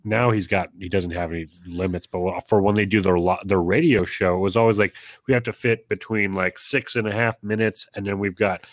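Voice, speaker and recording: Deep nasal man, dry sound. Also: brisk, hardly any pauses, no echo. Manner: relaxed, rambling, laughs lightly. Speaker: guest podcaster. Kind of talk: casual interview chat.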